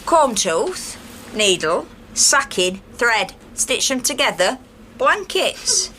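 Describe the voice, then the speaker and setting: A defiant miner's wife in a radio drama, blunt, brisk and bossy. Her Northern English voice is high and swings widely in pitch. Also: big pitch swings, bright, forceful tone, slight background noise, heavily acted. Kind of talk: naturalistic radio drama acting.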